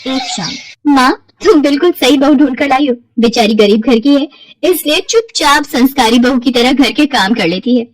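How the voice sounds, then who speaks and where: A young college girl played by a woman storyteller, self-centred and outspoken. Her voice is on the high side and recorded dry, in the style of a family cartoon. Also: dry recording, rough recording.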